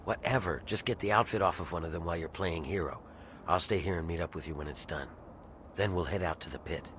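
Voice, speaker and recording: A low-pitched, gruff game character, an embittered slave plotting revolt. He talks in a conspiratorial, matter-of-fact way, with clear ambient noise behind him. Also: quick pace, fairly bright tone. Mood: grim, determined. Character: grim embittered rebel slave man scheming for freedom. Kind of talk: video game character dialogue.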